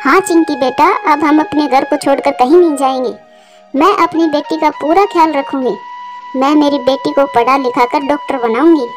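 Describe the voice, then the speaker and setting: A woman's voice: sits high, steady tone, normal speed, soft background music, lightly acted. A woman voicing an anxious, devoted young widowed mother bird in a cartoon, with an earnest, pleading way of talking. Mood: resolved. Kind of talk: Hindi moral-story cartoon dialogue.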